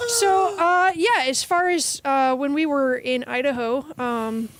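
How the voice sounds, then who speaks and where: A woman's voice: sits high, measured pace, no room sound. A woman from the United States, an actress being interviewed, chatting in a bubbly, slangy and informal way. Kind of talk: casual chat.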